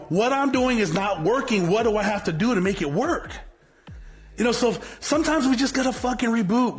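A man in his forties giving an unscripted motivational talk to his viewers. He talks in a blunt, fiery way, swears freely and often restarts his sentences. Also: high voice. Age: 40s. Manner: rambling, repetitive, frequent swearing and restarts. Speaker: motivational vlogger, business owner.

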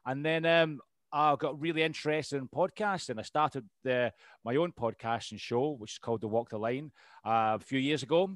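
A man's voice: medium pitch, normal speed, no room sound. A man in his thirties with a Scottish accent, a veteran telling his life story as a guest in a casual interview, rambling with ums and restarts. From Scotland. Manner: rambling, lots of ums and restarts, laughs easily. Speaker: podcast guest, RAF veteran.

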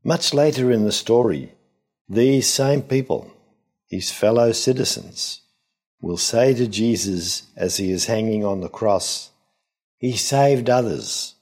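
Older male priest for a scripture reflection: very low, rough-edged voice, deliberate and pausing. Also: darkish tone. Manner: measured, deliberate phrasing with pauses. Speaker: priest giving Gospel reflection. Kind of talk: homily, expository reflection.

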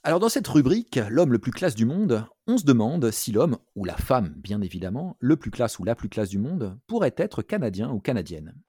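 A man's voice: mid-range voice, very animated, moderate pace, hardly any pauses, mellow, slightly rough, no echo. A man from France hosting a culture show in a casual, chatty, jokey way, often repeating himself.

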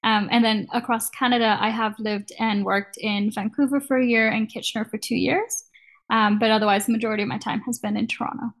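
A woman's voice: on the high side, steady tone, a little fast. A woman in her thirties from Kenya, chatting casually as an interview guest and laughing easily.

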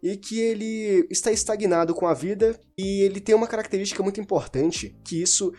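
Casual man talking books, mellow voice. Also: high voice, brisk, faint music behind. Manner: informal, rambling with restarts and self-corrections. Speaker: book reviewer. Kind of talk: casual spoken book discussion.

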